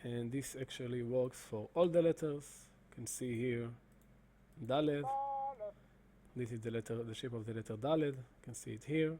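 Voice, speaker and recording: Halting man with a mellow voice. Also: medium pitch. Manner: halting, many fillers and restarts. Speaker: presenter demonstrating software. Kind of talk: screen-recorded explanation.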